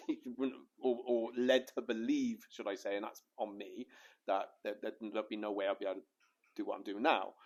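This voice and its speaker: Rambling, jokey man with a low voice. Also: little pitch movement, moderate pace. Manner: rambling, repeats words, jokey. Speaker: podcast co-host. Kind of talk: casual podcast conversation.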